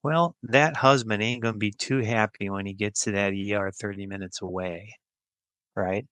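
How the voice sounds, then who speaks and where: American guy podcast guest, kinda low but forceful voice, really dry close sound, folksy plain talk. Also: steady tone, normal speed, no room sound. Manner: informal, plain-spoken, emphatic. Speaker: podcast guest, healthcare executive. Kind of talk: conversational interview answers.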